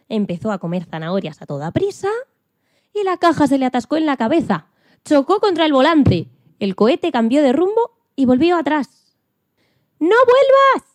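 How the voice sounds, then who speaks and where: Woman playing an animated, high-voiced storytelling hen. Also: dry recording. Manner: expressive, dramatic, playful emphasis. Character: excitable farm hen astronaut telling her own story. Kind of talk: radio play storytelling for children. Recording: music behind the voice.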